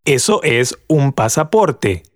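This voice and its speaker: A man with an Argentine Spanish accent speaks model phrases slowly for learners to repeat. His intonation moves a lot, and each word is clear and deliberate. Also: medium pitch, big pitch swings. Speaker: language guide. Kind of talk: slow model phrases for repetition.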